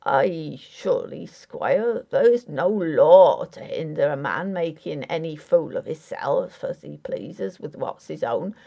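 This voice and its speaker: A woman's voice: low-pitched, gravelly, a little mellow, full character voice. A woman voicing a dogged, wry, superstitious old village carpenter with a folksy, rambling way of talking. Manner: folksy, rambling, dialect grammar.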